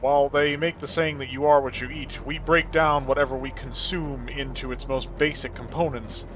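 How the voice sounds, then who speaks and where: A man's voice: mid-range voice, fairly even intonation, moderate pace, noisy background. A man giving in-character video game commentary with a wry, playfully menacing manner. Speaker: gaming video host.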